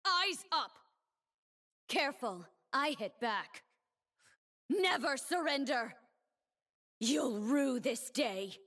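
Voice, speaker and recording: Solemn, slow-spoken warrior woman. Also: high voice, fairly bright tone, heavily acted. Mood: defiant, commanding. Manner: solemn, measured, commanding. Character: proud, resolute young woman warrior, blade dancer defending her homeland. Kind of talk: game champion voice lines and trailer narration. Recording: reverberant game audio over music.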